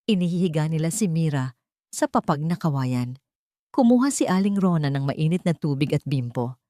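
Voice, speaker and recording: Female storyteller, lower register, dry close sound, emotional dramatic reading. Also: expressive, normal speed, dark tone, no echo. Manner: emotional, rising for tense moments. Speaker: narrator.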